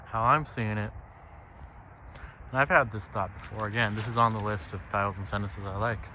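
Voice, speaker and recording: A man thinking aloud in a halting, casual, reflective monologue while walking outside on a trail. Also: lowish voice, fairly even intonation, sharp tone. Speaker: solo vlogger. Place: outdoors, walking on a trail by a lake. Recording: outdoor ambient noise.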